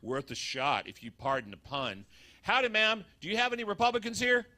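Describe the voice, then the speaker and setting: A man's voice: sits high, lively intonation, fairly quick, bright, forceful tone. A man playing a folksy, wisecracking urban cowboy in a live comedic radio show. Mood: joking. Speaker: stage performer in a benefit show.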